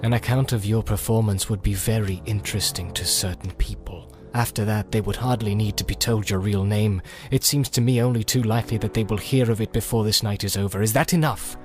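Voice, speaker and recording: A man voicing a grim, secretive, world-weary wanderer of the wilds in an audiobook, measured and guarded. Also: on the low side, fairly even intonation, faint music behind, close to an everyday voice. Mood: pressing. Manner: measured and guarded, often dropping to a hush. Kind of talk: audiobook character acting.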